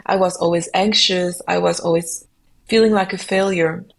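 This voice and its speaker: A young adult woman, a French native speaker, answering podcast questions reflectively and pausing often to find her words. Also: on the low side, steady tone, creaky. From Belgium. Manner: reflective, searching for words with frequent ums. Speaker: musician guest.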